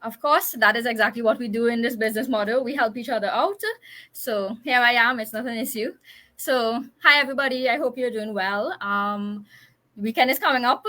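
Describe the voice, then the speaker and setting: A woman's voice: fairly high pitch, fairly quick, pushed and bright. A Trinidadian woman in her thirties speaking as a guest in a casual live chat, chatty and explanatory. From Trinidad and Tobago. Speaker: interview guest, business owner.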